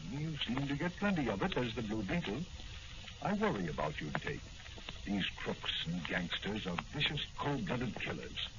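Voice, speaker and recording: A man's voice: mid-range voice, big pitch swings, normal speed, lightly acted. An actor playing a cautious, fatherly doctor and trusted advisor in a 1940s radio drama style. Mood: worried. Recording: muffled, noisy old-time radio recording.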